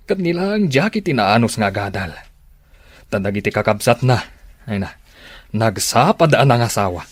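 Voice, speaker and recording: A man's voice: on the low side, expressive, normal speed, no echo, clean studio sound. A man with an Ilocano Filipino accent acting a reflective lover in a radio drama monologue.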